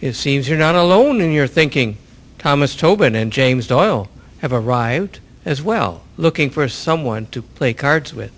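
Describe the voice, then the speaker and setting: A man's voice: medium pitch, lively intonation, normal speed, a bit bright. A man playing a sociable tavern keeper in a historical radio drama, chatty and a little wry. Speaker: amateur voice actor, storyteller.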